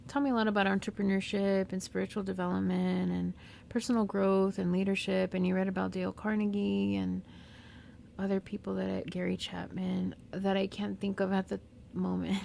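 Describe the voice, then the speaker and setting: A woman with a Southern California accent whose first language is Spanish, talking unscripted and reflectively in a slow, pausing monologue as a podcast host. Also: medium pitch, fairly even intonation, vocal fry, warm tone, a little hiss or hum. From Mexican-American. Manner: slow, reflective, with pauses and fillers.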